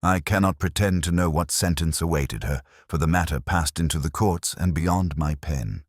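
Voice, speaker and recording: A man reading a first-person detective story as narrator, in a formal, measured storytelling manner. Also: a bass voice, no room sound, studio quality. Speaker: audiobook narrator.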